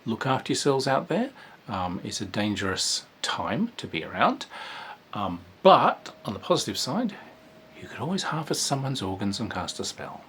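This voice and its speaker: A man's voice: fairly low pitch, sing-song, normal speed, a bit bright, a little hiss or hum. A man giving a casual spoken review of a tabletop game, rambling and hesitant, with frequent fillers and dry asides.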